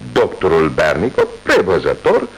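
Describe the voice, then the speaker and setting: Eager police inspector in an old radio drama, wildly swooping voice. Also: male voice, low-pitched, vocal fry, slight background noise, full character voice. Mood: animated. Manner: animated, storytelling, respectful. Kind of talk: 1980s radio drama acting. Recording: old radio studio recording.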